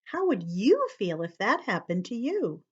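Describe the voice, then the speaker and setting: Slow, lively woman reading to children. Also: mid-range voice, breathy edge, lo-fi sound. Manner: expressive, explains words to young listeners; drops to a whisper at times. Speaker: children's storyteller. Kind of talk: picture book read-aloud for children.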